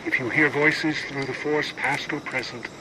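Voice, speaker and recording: A man playing a calm alien spiritual guide in a video game, speaking in a measured, ceremonial and instructive manner. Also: medium pitch, noisy background, natural voice. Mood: solemn, serene. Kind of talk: scripted game dialogue.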